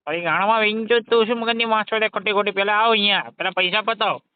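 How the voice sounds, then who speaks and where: A man's voice: high voice, fairly even intonation, fast talker, clean tone, no room sound, natural voice. A man playing an exasperated, foul-mouthed garage mechanic, scolding impatiently in a scripted comedy phone call. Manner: scolding, impatient, swearing constantly. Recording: dull lo-fi phone call recording.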